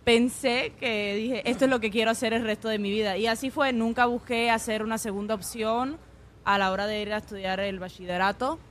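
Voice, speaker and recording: A young woman, a chef from Puerto Rico with an accent mixed between Puerto Rican and Mexican Spanish, telling stories in a relaxed way with frequent fillers in a radio interview. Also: on the high side, a little fast, a little hiss or hum. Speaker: guest chef.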